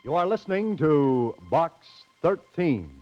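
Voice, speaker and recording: A man's voice: fairly high pitch, sing-song, slow, highs cut off. A man working as an announcer, in a formal 1940s radio style. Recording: old radio recording under music.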